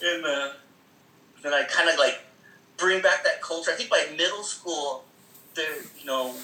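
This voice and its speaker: A man with a fairly high, very bright voice tells stories in a loose, rambling way, full of fillers and quick laughs. He sounds like he is in an echoey room. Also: a little hiss or hum. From Seattle area. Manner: rambling, many fillers, laughs often, acts out quoted voices. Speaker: guest. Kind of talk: casual conversational storytelling.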